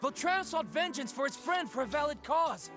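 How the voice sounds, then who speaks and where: Earnest male courtroom advocate, very high, animated pleading, game drama over music. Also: moderate pace.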